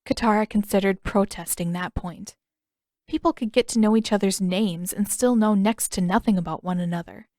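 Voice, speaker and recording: A woman's voice: medium pitch, moderate pace, a little mellow, no room sound, studio quality. A woman reading scripted fiction as an audiobook narrator.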